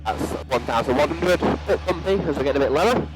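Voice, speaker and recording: A man's voice: fairly high pitch, animated, fast talker, loud music behind the voice, low-quality recording. A young adult man giving a running commentary as a student pilot, talking through his actions step by step. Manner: narrating own actions step by step. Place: inside small light aircraft cockpit in flight.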